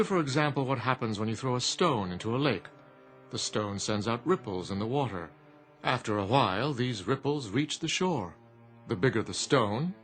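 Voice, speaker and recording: An actor playing a sly genie in a radio drama, speaking in a formal, measured and precise way. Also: male voice, mid-range voice, lightly acted. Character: sly, smooth-talking genie granting wishes with relish.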